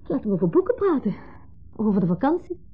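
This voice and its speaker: An actress in a 1970s radio play voicing a composed, evasive wife with a Netherlands Dutch accent, who speaks in a measured, matter-of-fact way. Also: female voice, on the high side, sing-song, breathy edge. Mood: firm, evasive. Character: composed, evasive woman between husband and former lover. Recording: muffled, band-limited 1970s radio recording.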